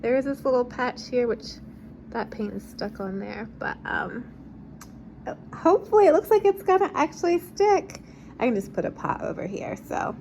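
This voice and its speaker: A woman's voice: a little high, lively intonation, moderate pace, gravelly, darkish tone, a little hiss or hum. An American woman chatting casually to camera as a craft vlogger, informal and thinking aloud as she goes.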